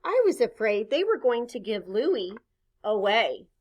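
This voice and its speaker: A woman reading a children's story aloud expressively, pausing to chat with her listeners. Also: a little high, muffled recording. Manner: expressive, pauses to point things out and ask questions. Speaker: storybook reader.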